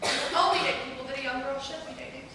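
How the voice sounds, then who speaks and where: A woman's voice: sits high, steady tone, racing, pushed and bright, far from the mic. A woman playing a melodramatic, anxious, scolding mother in an amateur comic stage play. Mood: stern scolding. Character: anxious, overbearing, image-obsessed mother of a teenage girl. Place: indoor room, live performance. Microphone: distant camera. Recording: echoey hall from afar, noisy.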